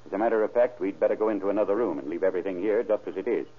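An actor playing a brisk, probing male sheriff in a businesslike old-time radio crime drama, clipped in his questioning. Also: low-pitched. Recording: muffled, band-limited vintage radio recording.

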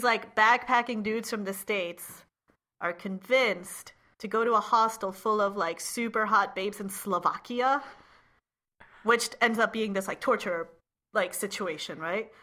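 A woman's voice: fairly high pitch, normal speed, bright, forceful tone. A woman co-hosting a casual chat podcast, chatty and laughing often, with lots of "like" fillers. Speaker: podcast co-host.

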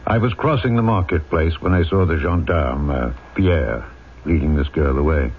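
An actor playing a compassionate, authoritative town mayor in an old-time radio drama, speaking in a dignified, earnest way. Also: male voice, rumbling low, moderate pace, a little hiss or hum. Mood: firm, protective. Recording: tinny old radio broadcast, faint music.

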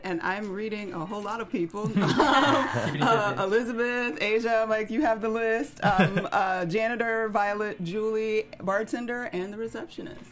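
A woman with a medium-pitched voice introduces herself as an actor. She talks casually, listing parts in a loose, chatty style. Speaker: actor in a table read.

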